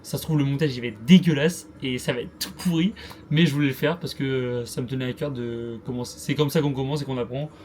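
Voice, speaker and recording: A man's voice: medium pitch, steady tone, fast talker, darkish tone. Brief: Chatty male travel vlogger, loose and slangy, street noise around a handheld camera mic. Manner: loose, slangy and self-mocking, with frequent fillers. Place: mostly outdoors in sunny, busy city streets. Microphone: small handheld gimbal camera mic.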